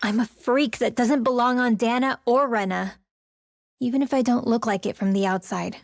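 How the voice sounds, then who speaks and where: A woman's voice: fairly high pitch, fairly even intonation, moderate pace, close to an everyday voice. A woman voicing a sensitive, self-doubting teenage mage girl in a video game, youthful and sincere. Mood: downcast, touched. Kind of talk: dramatic video game acting.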